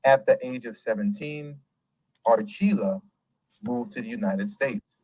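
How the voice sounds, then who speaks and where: A man of middle pitch hosts a casual commentary show, animated and emphatic. He laughs easily and drifts off on tangents before circling back. The sound is dry and close. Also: a little slow, slightly rough, dry recording, low-quality recording. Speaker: host.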